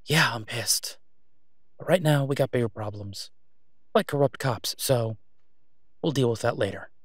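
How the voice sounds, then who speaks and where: A man's voice: medium pitch, measured pace, no room sound, studio quality, close to an everyday voice. A man playing a sardonic, exasperated but protective vigilante antihero in an audio roleplay, with a weary, clipped manner. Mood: resigned, urgent. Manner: sarcastic, weary, clipped, emphatic when irritated. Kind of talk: solo audio roleplay acting, conversational.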